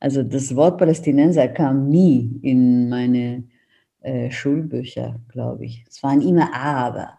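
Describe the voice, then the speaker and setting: A woman's voice: rumbling low, unhurried, vocal fry, dark tone, lo-fi sound. A middle-aged woman from Israel with an Israeli accent, a native Hebrew speaker, giving a reflective, hesitant account as an interview guest. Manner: hesitant, searching for words.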